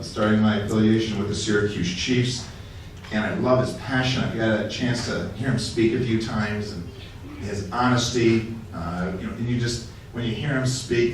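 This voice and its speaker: Low-voiced man introducing a speaker. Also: slight background noise. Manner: earnest, hesitant with fillers. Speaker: event emcee. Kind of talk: formal introduction. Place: large hotel banquet hall. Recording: echoey hall from far off.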